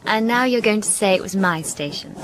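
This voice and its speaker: A woman's voice: mid-range voice, a little fast, a little hiss or hum, lightly acted, poor audio. An actress playing a serious young woman passenger in a radio drama, curious and sceptical, questioning in a conversational way. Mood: playfully sceptical. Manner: questioning, challenging.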